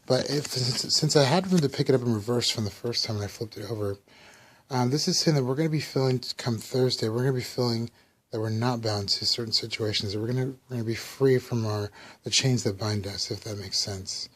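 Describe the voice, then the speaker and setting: A man with a slightly raspy voice, casually talking through a weekly tarot reading with loose, rambling explanations. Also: medium pitch, a little fast. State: slightly raspy, under the weather. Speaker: tarot reader.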